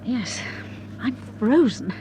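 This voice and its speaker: An actress playing a poised, inquisitive, affectionate detective's wife in a refined, expressive manner, in vintage British radio drama style. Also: female voice, fairly high pitch, slow, a little hiss or hum, rough recording. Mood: musing.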